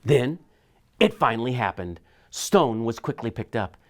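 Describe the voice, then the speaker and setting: A man reads a children's story aloud in a mid-range voice. His intonation swings widely, with dramatic, animated shifts that suit picture book storytelling. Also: mid-range voice, a little slow. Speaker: school superintendent reading aloud.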